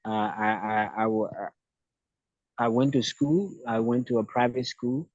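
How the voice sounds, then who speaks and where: A man's voice: medium pitch, steady tone, unhurried, darkish tone, dry recording. A man from Liberia with a Liberian accent, answering interview questions hesitantly, often repeating words and restarting. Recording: dull lo-fi dry sound.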